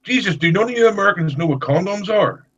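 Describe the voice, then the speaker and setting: A man's voice: high voice, lively intonation, quick pace, a bit bright, lo-fi sound, slight background noise. A man co-hosting a casual stream, teasing the others in a wry, dry way. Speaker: stream co-host. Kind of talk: casual banter.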